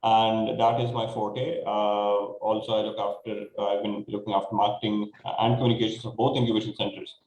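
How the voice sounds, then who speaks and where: A man's voice: lowish voice, level tone, a little fast, few pauses, a little mellow. A man with a Pakistani accent introducing his work informally as a panelist. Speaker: marketing manager panelist. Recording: echoey room with faint noise.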